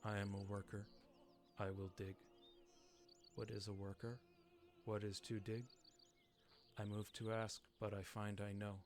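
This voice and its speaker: A man voicing an earnest, naive, newly made robot worker from a game story, speaking solemnly and haltingly in short, simple statements. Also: lowish voice, little pitch movement, faint music behind. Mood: wondering. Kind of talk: dramatic reading of game story text.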